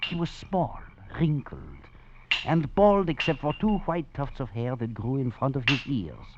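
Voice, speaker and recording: An actor playing an escaped French convict, a philosophizing rogue, narrating and talking with theatrical, florid phrasing and wry chuckles in 1940s radio drama style. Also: male voice, mid-range voice, a little slow, noisy background, lightly acted. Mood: brooding, wry. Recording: muffled old radio recording with music.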